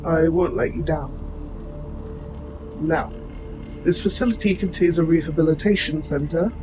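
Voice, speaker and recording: A man playing a gentle, protective robot soldier in an audio roleplay, speaking to the listener in formal, precise, measured wording. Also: on the high side, natural voice, rough recording. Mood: earnest, devoted, then calm, caring. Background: music.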